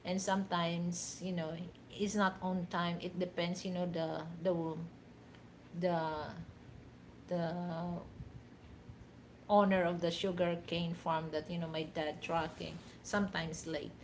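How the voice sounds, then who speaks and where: Filipino woman chatting slowly on a livestream. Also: lowish voice, level tone, a little hiss or hum, rough recording. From Philippines. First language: a Philippine language other than Tagalog. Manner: rambling, many "you know" fillers. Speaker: livestream host. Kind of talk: casual livestream chat.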